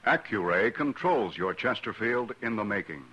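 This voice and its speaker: A man's voice: fairly low pitch, animated, normal speed, sharp tone, slightly rough, highs cut off. A man reading a 1950s radio commercial in a persuasive, polished way. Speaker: commercial announcer.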